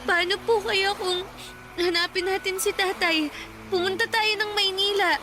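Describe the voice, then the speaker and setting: Shy filipina teen girl, high voice, super polite, radio drama, bit of noise behind. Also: fairly even intonation, moderate pace. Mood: tentative. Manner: timid, polite, apologetic. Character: meek, dutiful fifteen-year-old provincial granddaughter. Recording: some background noise and ambience.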